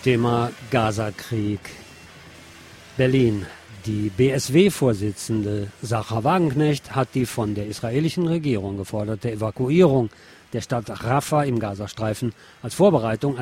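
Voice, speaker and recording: A man's voice: medium pitch, a little mellow, husky. A man presenting radio news, reading reports in a scripted style and slipping in sardonic asides. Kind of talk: reading news bulletins with wry commentary.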